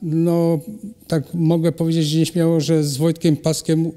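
A middle-aged man, a ceramic artist, answering interview questions in a halting way with many pauses and restarts. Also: on the high side, monotone, dark tone. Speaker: ceramic artist and cultural animator, guest. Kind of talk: hesitant conversational interview answers. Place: hall at a cultural centre. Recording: long reverb of a hall.

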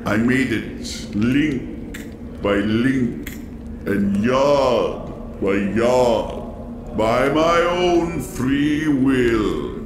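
An actor in an audio drama playing the mournful, menacing ghost of a dead Victorian businessman, solemn and theatrical. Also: mid-range voice. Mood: grave, sorrowful. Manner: slow, solemn, drawn-out theatrical delivery. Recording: echoing voice over noisy eerie ambience.

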